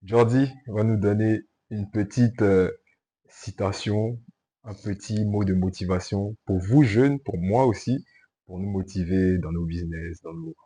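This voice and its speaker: Man, interview host, chatty, eager, low voice, casual. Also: dark tone, slightly rough. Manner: chatty, eager, talks over the guest with quick agreement.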